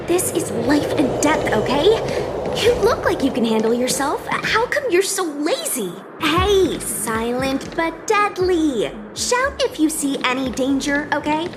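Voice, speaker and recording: An actress playing an eager, chatty young recruit knight in a video game, earnest and a little proud. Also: female voice, high-pitched, very animated, a little slow, very noisy. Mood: bossy, urgent.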